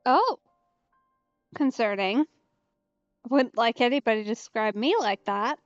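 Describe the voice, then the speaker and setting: A female game streamer reacting live in a casual, sympathetic way. She talks slowly and with understatement, on a slightly dull recording with faint music behind. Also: a little high, lively intonation. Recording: roomy, dull streamer microphone.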